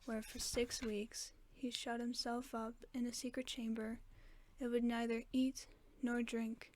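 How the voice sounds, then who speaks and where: Woman, whispered bedtime story reader, very slow, flat delivery, dry close sound. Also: fairly high pitch, dry recording. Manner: soft, mostly unvoiced whisper. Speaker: ASMR storyteller.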